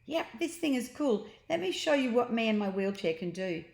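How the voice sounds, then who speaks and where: A woman's voice: fairly high pitch, sing-song, normal speed, creaky, a little mellow, voice pushed up. A woman voicing a plucky, cheeky young boy in a children's storybook, bold and chirpy in manner. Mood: confident. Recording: roomy, echoing sound.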